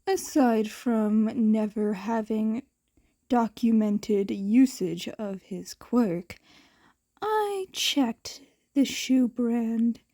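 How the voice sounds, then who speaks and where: A woman's voice: on the high side, lively intonation, drawn-out words, mellow, dry recording, studio quality, full character voice. A woman voicing a clinical, matter-of-fact villain's assistant in a story, reporting with detachment.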